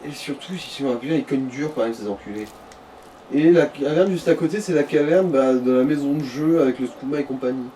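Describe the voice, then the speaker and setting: Guy with a mellow dark voice, offhand crude gaming chat, bit far from mic, faint noise. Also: mid-range voice, fairly quick. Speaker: let's play co-commentator advising the player.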